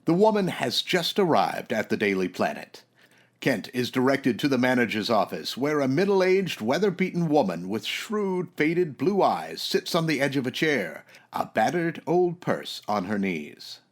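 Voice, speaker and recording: A man's voice: medium pitch, big pitch swings, unhurried. A man narrating an old-time radio serial in a dramatic, scripted announcer style.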